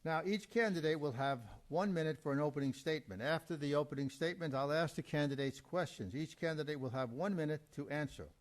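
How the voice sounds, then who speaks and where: A man's voice: on the high side, fast talker, few pauses. A man acting as moderator at a candidate forum, making formal introductions and explaining procedure.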